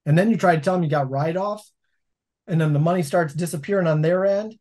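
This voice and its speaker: Male guest, 40s, anecdotal and gestural, raised-pitch delivery, remote call audio. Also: fast talker. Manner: storytelling, animated hand gestures. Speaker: laundromat owner and guest. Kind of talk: casual interview chat. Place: home office. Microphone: video call.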